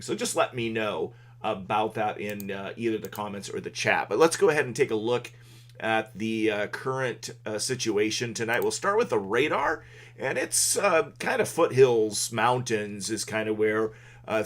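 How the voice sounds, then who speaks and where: A man hosting a casual live local weather update from a desk microphone, speaking loudly with many fillers and pauses. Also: lowish voice. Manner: loud, halting delivery with many fillers. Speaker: local weather livestream host.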